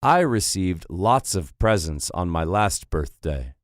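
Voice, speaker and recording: A man narrating English textbook listening tracks in a slow, clearly spoken way. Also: sits low, sing-song, no room sound, studio quality. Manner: slow, clearly articulated announcing.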